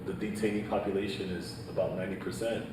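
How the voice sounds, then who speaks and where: A man's voice: sits low, little pitch movement, quick pace. A man from Haiti, speaking earnestly on a panel and peppering his points with "right?" and "um". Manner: earnest, repeats "right?" and "um", leans on data. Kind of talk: panel discussion answer. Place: panel event in a hall. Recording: echoey room, distant mic, background noise.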